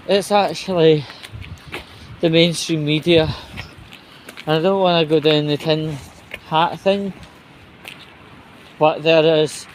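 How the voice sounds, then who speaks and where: A slow-speaking man with a slightly high voice talks casually to his followers while walking. He is halting and blunt, grumbling and swearing mildly. Clear outdoor ambience and vehicle noise sit behind him. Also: low-quality recording. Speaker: vlogger. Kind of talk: casual walk-and-talk monologue.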